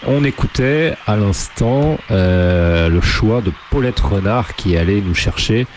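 A man's voice: lowish voice, lively intonation, takes it slowly, slight background noise. A man hosting a radio show in a casual, conversational way.

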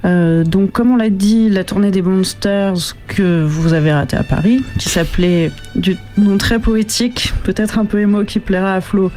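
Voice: medium pitch, moderate pace, hardly any pauses, darkish tone. A radio co-host presenting music in a casual, unscripted way, chatty and informal with a hesitant delivery.

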